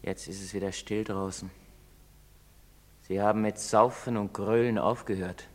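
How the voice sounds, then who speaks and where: A low-voiced, tender young lover. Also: male voice, steady tone, unhurried. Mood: quiet, tender. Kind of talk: theatrical stage drama acting in German. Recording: reverberant hall.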